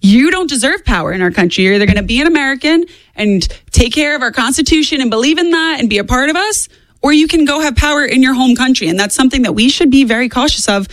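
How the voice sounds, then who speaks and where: A woman's voice: high voice, animated, quick pace, no room sound. A woman in her twenties giving opinionated political commentary as a guest, speaking emphatically and repeating points for stress. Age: 20s.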